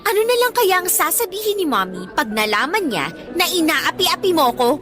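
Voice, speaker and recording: A woman's voice: extremely high pitch, big pitch swings, moderate pace, over music. A woman with a Filipino accent playing an insolent, spoiled teenage schoolgirl in a radio drama, defiant and sneering. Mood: smug, scornful. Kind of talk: Tagalog radio drama acting.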